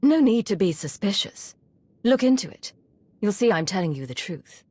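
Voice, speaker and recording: A woman's voice: mid-range voice, very animated, moderate pace, darkish tone, breathy edge, no room sound. A woman voicing a composed imperial spy in a video game, controlled and probing. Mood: persuasive. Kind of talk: video game character acting.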